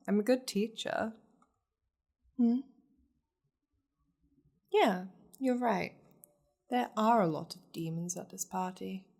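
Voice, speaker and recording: Woman playing a high-ranking demon lady, unhurried, possessive, flirtatious, haughty and teasing, mid-pitched, intimate ASMR roleplay. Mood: teasing, reassuring. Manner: teasing, commanding, haughty endearments.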